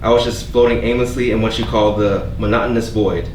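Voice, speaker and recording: A man acting a gentle earnest stranger, echoey, distant. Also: fairly low pitch, steady tone, brisk, lightly acted. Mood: heartfelt, wistful. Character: gentle, earnest, hopeful stranger named Avery, quietly wounded. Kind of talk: staged play reading. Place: theatre staged reading, recorded from a distance. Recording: echoey room, distant mic, background noise.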